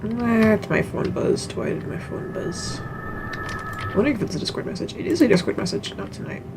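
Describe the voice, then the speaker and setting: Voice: mid-range voice, very animated, normal speed, noisy background. A streamer muttering through a game in casual commentary, with the odd curse. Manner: mutters to self, casual swearing.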